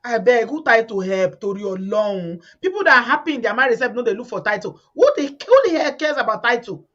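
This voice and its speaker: Host, woman, bright forceful voice, repeats herself for emphasis, slightly lo-fi. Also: on the high side, lively intonation, a little fast. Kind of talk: casual chat. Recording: slightly dull lo-fi sound.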